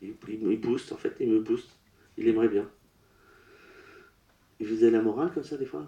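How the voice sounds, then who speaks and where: A man working as a medium at a public séance, relaying messages in short, broken, halting phrases. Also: lowish voice, mellow. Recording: roomy echo.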